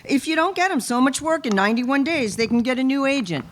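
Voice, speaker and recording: A woman's voice: high voice, expressive, fast. A woman acting a chatty, naive talent agency receptionist in a 1950s-style radio play, matter-of-fact in manner. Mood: chatty, helpful. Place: live radio play performance at an event.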